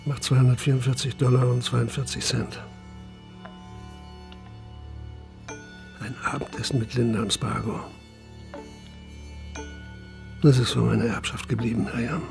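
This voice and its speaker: An actor playing a weary, guarded middle-aged writer with terse speech in a naturalistic radio drama. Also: male voice, mid-range voice, flat delivery, fast, gravelly, over music, close to an everyday voice. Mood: weary, dry. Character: weary, hesitant middle-aged Dutch writer short of money.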